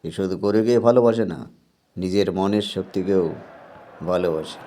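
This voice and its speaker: A man's voice: sits low, normal speed, warm tone, a little hiss or hum, voice pushed down, close to an everyday voice. A man voicing a contest judge in a cartoon, formally announcing the winner. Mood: proclaiming. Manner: formal, proclaiming.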